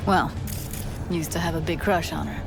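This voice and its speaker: A woman's voice: sits low, fairly even intonation, moderate pace, vocal fry. A woman playing a passionate, bruised young rebel in a cyberpunk game, blunt and informal with clipped phrasing. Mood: wistful. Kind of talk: video game voice acting. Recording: loud music behind the voice.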